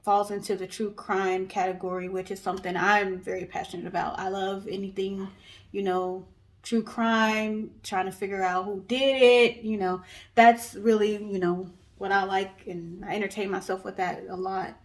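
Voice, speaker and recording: A woman's voice: medium pitch, little pitch movement, normal speed. A woman co-hosting a storytelling guessing show, telling stories in a casual, conversational way.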